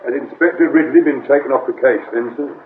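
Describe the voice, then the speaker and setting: An actor playing a long-suffering police sergeant in a radio drama, respectful and subdued. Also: male voice, medium pitch, fast, close to an everyday voice. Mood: deferential. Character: deferential, long-suffering male detective sergeant. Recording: muffled lo-fi radio recording with hiss.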